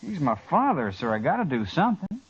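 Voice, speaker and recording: Earnest young Western lad, husky and animated. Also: male voice, a little high, a little fast, exaggerated voice. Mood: earnest, worried. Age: young adult. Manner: earnest, hesitant, polite. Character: earnest, anxious eighteen-year-old Eastern-raised Western youth. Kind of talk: old time radio western acting. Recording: muffled, dull old radio recording.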